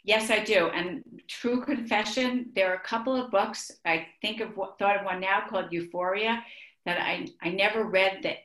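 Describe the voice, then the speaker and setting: A woman's voice: mid-range voice, normal speed, a bit bright. A middle-aged woman with an Israeli accent, a guest author in an online interview, who talks with many restarts and laughs easily. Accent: Israeli-accented English. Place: home room with bookshelves. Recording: echoey room.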